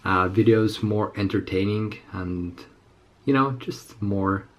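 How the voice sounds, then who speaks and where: A man in his twenties with a slightly raspy, under-the-weather voice, chatting casually to camera as a fitness vlogger in a halting, rambling way. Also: lowish voice. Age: 20s. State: slightly sick. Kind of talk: casual unscripted chat to camera. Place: small indoor room with plain walls.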